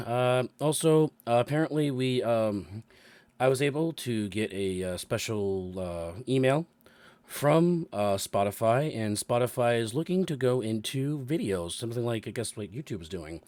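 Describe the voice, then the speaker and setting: A man with a cold, hoarse, hosting and running a tabletop game session, halting with many fillers. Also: mid-range voice, lively intonation, darkish tone, dry recording. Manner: halting, many ums and restarts. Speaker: dungeon master and show host. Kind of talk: casual announcements and chat. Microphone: new microphone.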